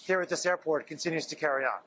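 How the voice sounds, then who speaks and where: A man reporting live from outdoors at an airport in a steady, informative way. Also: a little high, fast, a little hiss or hum. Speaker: field reporter.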